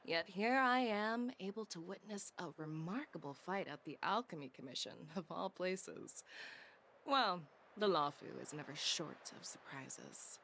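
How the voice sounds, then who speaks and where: A woman's voice: fairly low pitch, lively intonation, a little slow, a bit bright, slight background noise. Poised, confident warrior woman. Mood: poised, amused. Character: confident, worldly female warrior posing as a humble passerby. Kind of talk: anime game voice acting. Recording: game dialogue over music.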